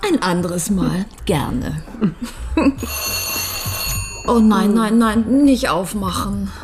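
An actress playing an anxious, insistent widow who feels threatened, in a German radio crime drama. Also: female voice, medium pitch, very slow, noisy background. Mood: wry, nervous.

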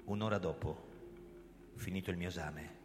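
An actor playing an embattled, commanding police commissioner in a radio drama, theatrical and sardonic, worldly-wise in manner. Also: male voice, low-pitched, steady tone, moderate pace, lightly acted. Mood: measured narration. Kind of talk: radio drama acting and first-person narration. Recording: reverberant stage sound, loud music behind. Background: music.

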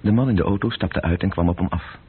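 Radio drama narrator, deep, husky, sober, measured, terse, thin old band-limited recording. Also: male voice, narrow, tinny audio. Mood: sober, observant. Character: sober male storyteller, detached observer of city evening. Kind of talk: scripted radio play narration.

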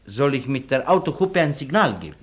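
An actor playing a devoted, simple, deferential Polish manservant and driver in a German radio play. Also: male voice, mid-range voice, sing-song, a little fast, slightly rough. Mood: dutiful, dry.

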